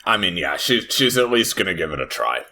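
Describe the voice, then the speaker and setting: A man's voice: sits low, big pitch swings, brisk, sharp tone. A man playing a tabletop roleplaying game, talking casually and improvising his character's lines. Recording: clear background noise, ambience.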